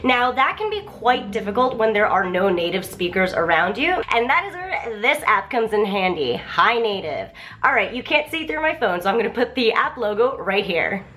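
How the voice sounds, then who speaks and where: A woman's voice: mid-range voice, sing-song, moderate pace, pushed and bright, slight background noise, poor audio. A young woman vlogger, bubbly and enthusiastic, chatting casually through an app walkthrough. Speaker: vlogger reviewing a language app.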